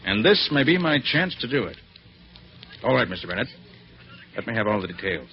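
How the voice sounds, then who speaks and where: An actor playing a shrewd, confident master detective in an old radio drama, speaking in a crisp, formal, self-assured manner. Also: male voice, on the low side, very animated, a little fast, soft background music. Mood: confident, determined. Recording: muffled, noisy vintage radio broadcast.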